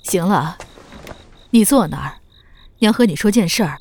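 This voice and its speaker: Period-drama grandmother, female actor: older, fond, firm, motherly scolding, highly animated intonation. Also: normal speed. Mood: stern. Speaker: voice actor in an amateur audio drama. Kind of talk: Chinese period audio drama acting.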